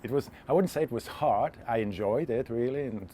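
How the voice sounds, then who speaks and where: A man's voice: medium pitch, a little fast, mellow, slight background noise. A man, talking casually as a guest in an interview about himself. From Switzerland. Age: 40s. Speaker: interview guest, freediving instructor.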